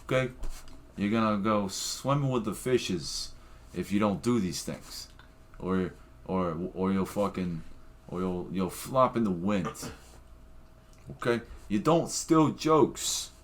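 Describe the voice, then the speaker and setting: A man's voice: fairly low pitch, slow pace, slight background noise. A man playing a blustering tough-guy Italian mobster, bossy and profane as he lectures. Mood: lecturing.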